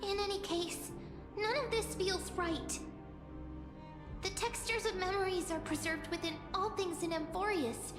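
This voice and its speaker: A woman playing a gentle, perceptive young mystic in a fantasy game, speaking in a contemplative, precise way. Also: extremely high pitch, fairly even intonation, measured pace. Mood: uneasy, contemplative. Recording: echoey hall reverb over music.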